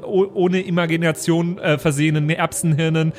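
A man with a fairly high voice plays an improvised tabletop game. He rambles stubbornly and casually, with slight noise behind him. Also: flat delivery, moderate pace. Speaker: role-playing game player.

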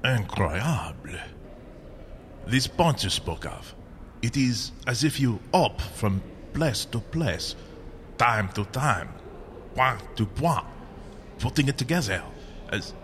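A man playing a bewildered, courteous French painter in a radio adventure, speaking haltingly with a French accent. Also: mid-range voice, big pitch swings, fairly bright tone, slight background noise. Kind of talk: old-time radio adventure acting.

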